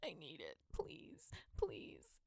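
A woman performing an adult erotic audio roleplay in a breathy, often whispered voice, submissive and pleading. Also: deep voice, huge pitch swings, slow pace, darkish tone, no echo, studio quality.

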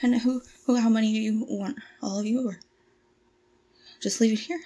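A woman acting out a frightened young captive woman who stammers and pleads in a halting way. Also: fairly high pitch, steady tone, dark tone, slight background noise, close to an everyday voice. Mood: nervous, hesitant. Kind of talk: amateur audio drama acting.

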